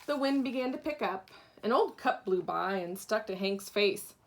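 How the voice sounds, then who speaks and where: A woman reading a children's picture book aloud with dramatic pauses, acting out the story. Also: mid-range voice, expressive, slight background noise. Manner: deliberate dramatic pauses, acts out characters. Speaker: storybook reader, teacher.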